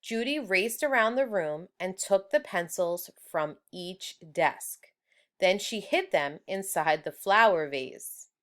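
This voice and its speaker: A woman reading a children's story aloud in a deliberate, expressive storyteller's manner. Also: lowish voice. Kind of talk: children's book read-aloud.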